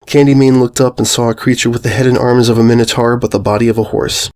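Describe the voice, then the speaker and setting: A man's voice: mid-range voice, fairly even intonation, quick pace, darkish tone. A man reading scripted fiction aloud as a story narrator.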